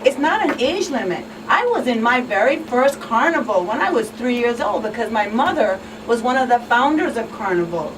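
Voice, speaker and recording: A woman's voice: on the high side, expressive, moderate pace, few pauses. A woman guest on a talk show, speaking earnestly and emphatically, with repeated phrases for emphasis. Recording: distant mic with clear background noise.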